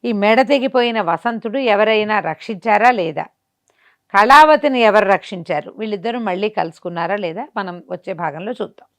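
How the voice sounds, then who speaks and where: Animated conversational woman storyteller. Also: medium pitch, normal speed. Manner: conversational, asks herself rhetorical questions. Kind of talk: animated oral folk storytelling.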